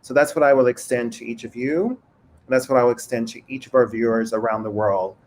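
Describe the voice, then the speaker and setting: Host: man, mid pitch, animated and affirming, video-call audio with faint background noise. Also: fairly quick. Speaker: moderator and show host. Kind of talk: hosting a panel conversation. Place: home room.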